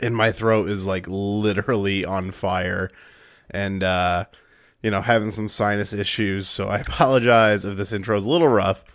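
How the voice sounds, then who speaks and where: A young man hosting a podcast, hoarse and raspy from a cold, rambling through his intro with fillers and restarts. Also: fairly low pitch, steady tone, moderate pace, fairly bright tone, no room sound, studio quality. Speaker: podcast host.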